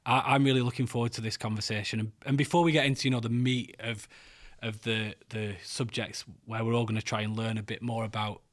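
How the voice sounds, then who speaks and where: A man's voice: fairly low pitch, little pitch movement, fast, few pauses. A man hosting a podcast, chatty and rambling, with many fillers as he sets up his questions. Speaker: podcast host. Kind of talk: casual interview.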